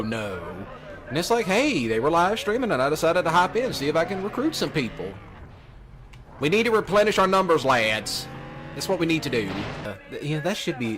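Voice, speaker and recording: A man's voice: on the high side, fairly bright tone. A man in a casual, rambling livestream rant, repeating himself and addressing his audience as y'all and lads. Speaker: livestreamer doing a let's play. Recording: noisy with car engine sounds, uneven volume. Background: car and vehicle sounds from racing game.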